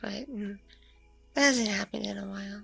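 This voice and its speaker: A woman playing a lonely, coy vampire mother in an ASMR roleplay, musing slowly in a husky, breathy, creaky voice with soft hums. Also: medium pitch, steady tone, soft background music, full character voice. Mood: wistful, lonely. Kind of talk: ASMR audio roleplay, intimate one-sided conversation.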